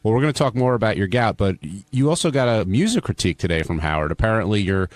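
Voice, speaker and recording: A man's voice: fairly low pitch, animated, quick pace, no room sound. A man hosting a radio talk show, asking questions in a casual interviewing style. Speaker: radio host.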